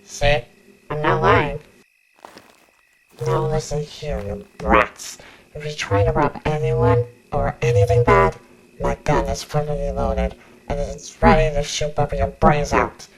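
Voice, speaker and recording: Woman voicing a low, guarded young male outlaw. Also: steady tone, a little slow, crowd noise, low-quality recording. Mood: stern, threatening. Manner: curt, brooding, protective. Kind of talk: ASMR roleplay acting. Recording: background music under the voice.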